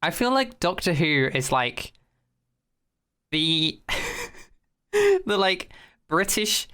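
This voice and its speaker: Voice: medium pitch, wildly swooping pitch, drawn-out words, pushed and bright, clean tone. A podcast host chatting casually in a rambling, informal way, swearing freely and sounding amused.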